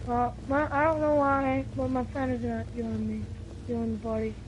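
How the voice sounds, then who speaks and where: A woman's voice: on the high side, flat delivery, normal speed, background noise. A young woman chatting casually with a friend while gaming. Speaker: gamer streaming with a friend. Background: game music.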